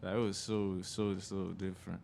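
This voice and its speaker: A man's voice: low voice, little pitch movement, moderate pace, vocal fry, darkish tone, a little hiss or hum. A man from Haiti who moved to Miami, chatting casually as an interview guest, playful and slangy with frequent swearing. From born in Haiti, later moved to Miami. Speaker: interview guest, music artist. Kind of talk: casual chat.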